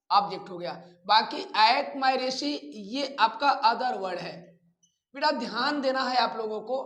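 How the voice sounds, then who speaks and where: Male Indian grammar teacher, unhurried and deliberate, instructing students step by step, roomy echo on the recording. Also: very high-pitched, fairly bright tone. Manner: deliberate, repeats phrases, addresses students directly. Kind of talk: instructional lesson, explaining step by step. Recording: echoey room.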